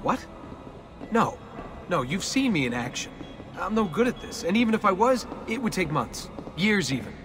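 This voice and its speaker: A man's voice: sits high, very animated, moderate pace. An actor playing a sarcastic young everyman in a video game, talking in a casual, wry way. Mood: doubtful. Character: sarcastic young everyman, reluctant assassin recruit. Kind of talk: video game cutscene acting. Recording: long reverb, music behind.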